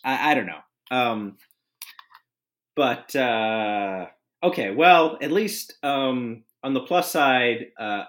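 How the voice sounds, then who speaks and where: A man's voice: on the low side, fairly bright tone. A man hosting a casual podcast chat, rambling through his thoughts with many fillers and restarts. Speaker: podcast host.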